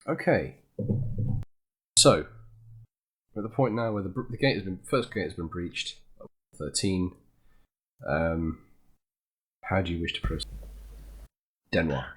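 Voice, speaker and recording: A man's voice: low-pitched, measured pace, long pauses. A man acting as game master in a casual voice-chat tabletop game, prompting each player by name.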